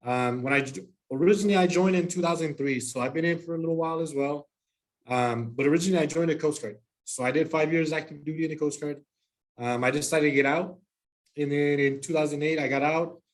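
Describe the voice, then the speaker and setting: A man in his thirties from El Paso, Texas, whose first language is Spanish. He gives an easygoing talk to students as an Army sergeant, with frequent pauses. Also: on the high side, rough recording. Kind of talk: presentation to students.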